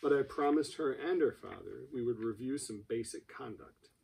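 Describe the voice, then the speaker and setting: Officious, dismissive male administrator, read by a man, gravelly and husky, amateur drama reading. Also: mid-range voice, lively intonation, normal speed, darkish tone, full character voice, low-quality recording. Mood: formal.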